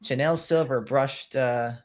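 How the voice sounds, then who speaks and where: Guy co-hosting a live stream, playful and laid-back, middle pitch, casual banter. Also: fairly even intonation, unhurried. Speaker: co-host. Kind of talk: casual livestream chat.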